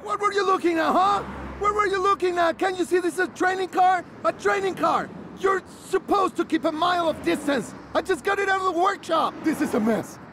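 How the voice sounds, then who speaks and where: A man voicing a short-tempered male driving instructor who coaxes his student and then yells at her. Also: sits high, sing-song, a little slow, a little hiss or hum, exaggerated voice. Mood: furious.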